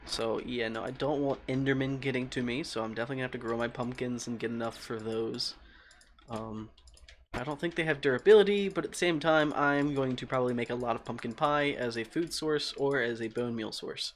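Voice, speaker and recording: Male game streamer, calm voice, middle pitch, rambling and chatty with viewers, faint typing behind. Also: fairly quick, slight background noise. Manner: rambling, thinking aloud and answering chat. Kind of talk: casual livestream chat while playing.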